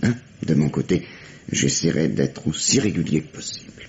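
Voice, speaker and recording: A man's voice: a bass voice, expressive, normal speed, gravelly, a little mellow, heavily acted. An actor playing a shrewd, middle-aged police detective in a radio mystery, teasing and condescending as he interrogates. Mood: probing, firm. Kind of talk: 1950s French radio mystery acting. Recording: dull, vintage radio drama sound.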